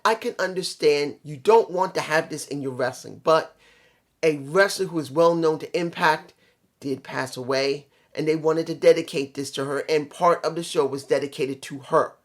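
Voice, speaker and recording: The voice is a mid-pitched reviewer, a little hoarse from allergies. The delivery is casual and opinionated, as in a wrestling vlog. Points get repeated and stressed in a conversational way. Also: normal speed. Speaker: vlogger reviewing a wrestling show.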